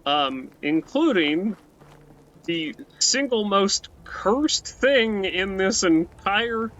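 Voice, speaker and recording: A man's voice: sits high, lively intonation, extremely slow, a bit bright. A man giving rambling, casual commentary while playing a game, often tacking on "right?" and restarting his sentences. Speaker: let's play host. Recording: muffled with faint background hiss.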